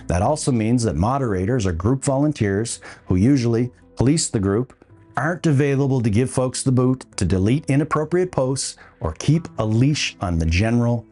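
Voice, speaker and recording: A man's voice: medium pitch, dark tone. A man hosting a podcast, delivering scripted opinion commentary with a wry, dry humour. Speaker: podcast host.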